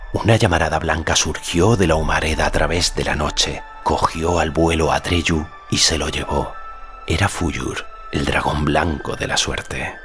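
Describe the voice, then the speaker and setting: A man's voice: a bass voice, fairly bright tone. A man reading as an audiobook narrator, giving dramatic scripted narration of a fantasy story. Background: music.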